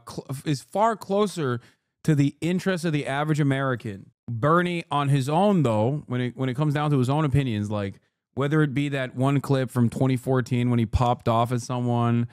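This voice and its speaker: Profane ranting streamer, dry close sound. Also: male voice, medium pitch, clean tone, no echo. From Turkey. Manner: laughs through his lines. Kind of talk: live-stream reaction commentary.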